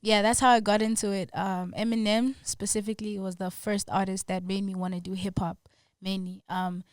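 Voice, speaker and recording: A woman's voice: mid-range voice, brisk, no echo, clean studio sound. A young woman, chatting casually as a guest on a podcast, easygoing and full of fillers. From South Africa. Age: young adult. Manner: relaxed, trailing, filler-heavy. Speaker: guest musician.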